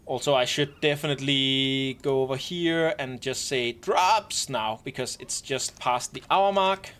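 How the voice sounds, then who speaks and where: A man live-streaming, gently and casually explaining game mechanics to his squad with wry asides. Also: medium pitch, a little slow, smooth. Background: faint keyboard typing and clicks.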